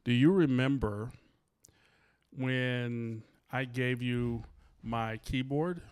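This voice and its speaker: A man's voice: medium pitch, very slow, darkish tone, smooth. A man, the host's father, asking questions in a playful, joking way with many fillers. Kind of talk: casual interview chat.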